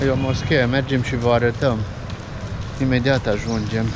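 A man's voice: mid-range voice, fairly even intonation. A man in his thirties hosting a casual travel vlog, walking through a street market and pointing things out to viewers on a handheld camera. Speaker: travel vlogger host. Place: outdoor street market in a town. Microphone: handheld action camera at arm's length. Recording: outdoor street noise. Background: street traffic and town noise.

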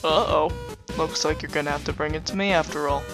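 A woman's voice: deep voice, fairly even intonation, quick pace, music in the background, natural voice. A woman voicing a lazy, wry male skeleton big brother in a comic dub, casual and drawling. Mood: lazy, teasing. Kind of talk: amateur comic dub acting.

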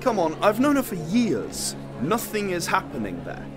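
A man's voice: high-pitched, huge pitch swings, moderate pace, over music. A man playing a wry, nervous young student. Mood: defensive. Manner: hesitant, dry humor.

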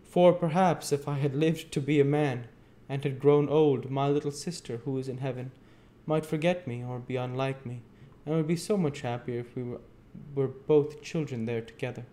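A man's voice: fairly high pitch, steady tone, normal speed, warm tone, voice pushed up, lightly acted. A man voicing a sickly, meek little orphan boy in a storybook, speaking in a faint, faltering, earnest way. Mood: earnest, pleading.

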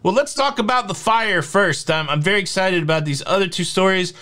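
A man's voice: high voice, fairly quick, pushed and bright. A man in his forties hosting a casual news vlog from a home studio, talking in a chatty, upbeat, jokey way with plenty of fillers. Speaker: host. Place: home studio with shelves of collectibles.

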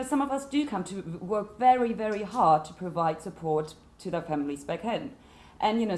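Low-voiced woman with a German accent. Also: a little hiss or hum. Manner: earnest, emphatic, gestures while speaking. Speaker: panelist. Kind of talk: panel discussion talk. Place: seminar room at a conference table. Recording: echoey room.

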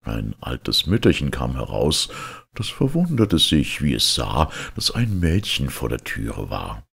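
A man's voice: extremely low pitch, wildly swooping pitch, measured pace, gravelly, fairly bright tone, slightly rough. A man narrating a fairy tale as a storyteller, in a dramatic reading style.

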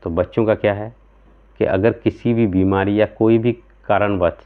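A man in his thirties talks to camera from a small room at home, explaining remedies in an earnest, step-by-step way. His voice sits low and stays flat in pitch. The recording is thin and tinny, like a phone line. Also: normal speed, smooth. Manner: earnest, explanatory, gesturing with both hands, frequent "theek hai" check-ins. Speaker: homeopathic practitioner.

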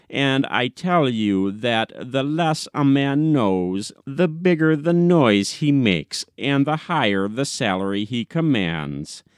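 A man's voice: medium pitch, clear, resonant tone, no room sound, clean studio sound. A man reading an audiobook, playing a brash, self-righteous newspaperman who rants in a pompous, declamatory way. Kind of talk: dramatic audiobook reading of classic comic short story.

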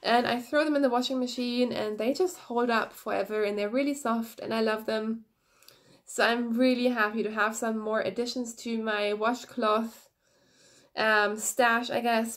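A young woman with a slight head cold, hosting her knitting podcast in quick, energetic, chatty talk, recorded on a phone at arm's length in a small room. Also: on the high side. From Germany. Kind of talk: casual chat to camera. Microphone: phone camera at arm's length, no external mic.

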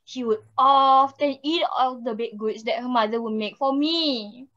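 A woman's voice: high voice, unhurried, bright, forceful tone. A teenage girl with a Singaporean accent playing an aggrieved fairy-tale grandmother who complains theatrically. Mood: accusing. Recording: muffled video-call audio.

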